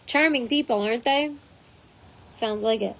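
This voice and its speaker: A woman with a hoarse voice reading a text aloud and breaking off into chatty side notes, as the host of her own channel. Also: fairly high pitch, normal speed, a bit bright. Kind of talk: reading aloud with casual asides.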